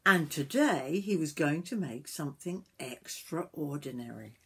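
A woman in her sixties reading a picture book aloud to young children like a grandmother, expressively, with drawn-out words and little asides to the listener. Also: deep voice, animated, slight background noise. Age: 60s. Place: small wooden summerhouse.

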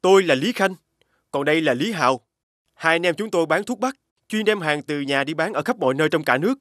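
A man voicing a humble, kind elder brother who travels selling medicine, gentle and respectful, in an animated fairy tale. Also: a little high, fairly quick, no echo, studio quality.

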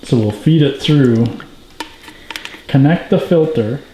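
A man's voice: mid-range voice, takes it slowly, mellow. A man hosting a casual hands-on repair chat in a jokey, bantering way. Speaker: host of a repair channel. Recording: echoey room with background noise and clicks.

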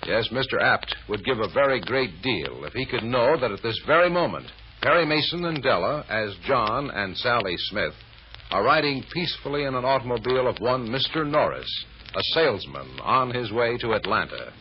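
A man's voice: mid-range voice, very animated, normal speed. A man narrating an old-time American radio serial as its announcer, in a dramatic, suspenseful, emphatic manner. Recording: muffled old radio recording.

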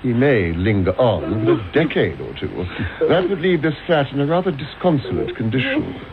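An actor playing an arrogant, caustic middle-aged English gentleman detective in a radio drama, with a theatrical, sardonic manner. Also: mid-range voice, big pitch swings, normal speed, over a noisy crowd. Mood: cold, sardonic. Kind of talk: 1980s radio drama acting. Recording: muffled, hissy old radio broadcast.

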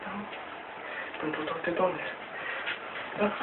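A man in his thirties from Romania rambles haltingly through a casual, improvised exercise demonstration at home, swearing mildly. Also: a little high. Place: small room at home. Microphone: webcam mic at a distance. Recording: tinny noisy webcam audio, distant mic.

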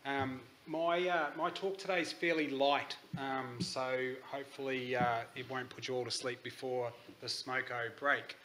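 An Australian man speaks at a conference, his voice sitting at an ordinary middle pitch. He talks in a relaxed, halting way, filling pauses with ums. A faint hum of background noise sits under the recording. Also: poor audio. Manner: hesitant, many ums. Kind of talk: conference presentation. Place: conference hall.

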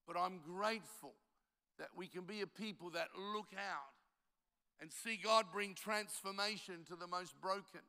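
A man's voice: high-pitched, a little slow, pushed and bright, in a large hall. A man in his seventies, a pastor raised in Australia by Italian parents, preaching a conference sermon with self-deprecating stories and emphatic exhortation. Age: 70s.

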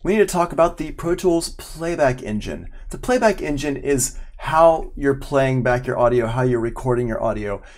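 A man's voice: medium pitch, expressive, moderate pace, hardly any pauses, a little mellow. A man in his forties giving an informal live online tutorial as an audio instructor, explaining step by step in a casual, conversational way. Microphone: external microphone at a desk, with headphones.

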